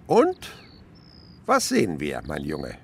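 A man's voice: rumbling low, wildly swooping pitch, takes it slowly, creaky, slight background noise, full character voice. An older male actor playing an enthusiastic, teasing naturalist uncle in a German radio play, fond and instructive in a theatrical way. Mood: awed, animated.

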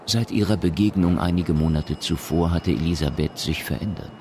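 An actor narrating a German radio drama in a measured, detached, scripted manner. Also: male voice, low voice, background noise. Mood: sober. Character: sober, detached male storyteller of a science fiction tale.

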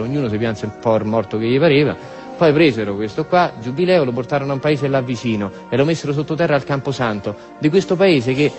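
A man with a Roman accent performing a colloquial theatrical monologue about war, rambling and repetitive, tossing in tag questions. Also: mid-range voice. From Rome, Italy. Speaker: monologue storyteller, stage actor.

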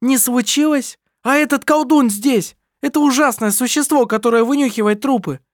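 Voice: sits high, normal speed, dry recording, clean studio sound, pitched above the performer's own voice, close to an everyday voice. A reader voicing an indignant, hysterical young woman in an audiobook. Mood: outraged. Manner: screeching, outraged.

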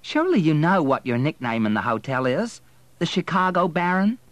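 A man voicing a haughty young Southern lady, prim, brisk and condescending, in an audiobook novel. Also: on the high side, sing-song, a bit bright, no echo, pitched above the performer's own voice. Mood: patronising.

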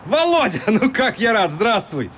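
A man playing a friendly, adventurous, comradely traveller in a radio play, brisk and good-humoured. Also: high voice, very animated, a bit bright, a little hiss or hum, full character voice. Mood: delighted.

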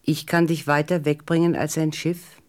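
An actor voicing a mysterious, calm snake in a radio play, speaking in a measured, cryptic and solemn way. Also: mid-range voice, close to an everyday voice. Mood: quietly proud.

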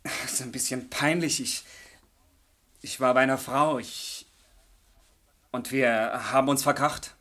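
An actor playing a young male student, a smooth-talking secret schemer and lover, in a German radio play. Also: mid-range voice, fairly even intonation, measured pace, pauses often, sharp tone. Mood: sheepish.

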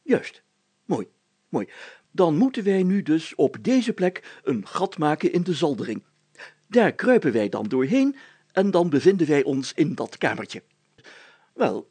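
A man's voice: fairly high pitch, sing-song, measured pace, a little mellow, slightly rough, no echo, voice pushed up, heavily acted. A man voicing a pompous, optimistic village mayor in a storybook, speaking emphatically and with self-importance. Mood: pompous, explaining.

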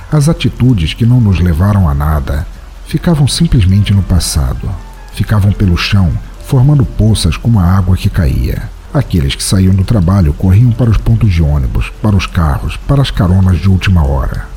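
Guy acting as melancholy night walker writer, low mellow voice, introspective audio drama narration, bit of music behind. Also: lively intonation, moderate pace. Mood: brooding, introspective. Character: melancholy night-walking male writer, solitary and brooding. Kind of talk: literary first-person audio drama narration.

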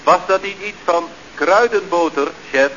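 An actor playing an eager, dim, deferential employee on a walkie-talkie in a radio comedy. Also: male voice, high-pitched, unhurried, fairly bright tone. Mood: dutiful, obtuse. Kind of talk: 1970s Dutch radio comedy serial acting. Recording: dull, noisy vintage radio recording.

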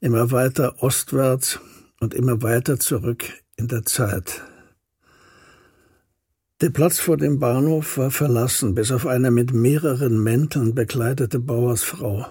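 An actor playing a reflective scholar retracing his past, recounting it with precise, unhurried melancholy in a literary radio drama. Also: mid-range voice, steady tone, dark tone, slightly rough, no room sound, studio quality. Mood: weary, pensive.

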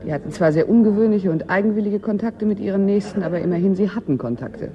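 An actress playing a self-assured, dryly ironic newcomer in a 1980s German radio drama, teasing and witty. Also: female voice, mid-range voice, fast, highs cut off, a crowd in the background, natural voice. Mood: wry amusement.

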